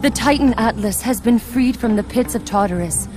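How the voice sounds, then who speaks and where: A woman's voice: on the high side, normal speed, over music, close to an everyday voice. A woman voicing an earnest, pleading goddess. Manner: earnest and urgent.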